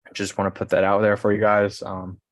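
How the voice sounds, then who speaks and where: A man's voice: lowish voice, monotone, brisk, no room sound, highs cut off. A man hosting a casual coaching livestream, rambling along and often checking in with his listeners. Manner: rambling, often tags sentences with "okay" and "guys". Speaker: host of a business coaching livestream.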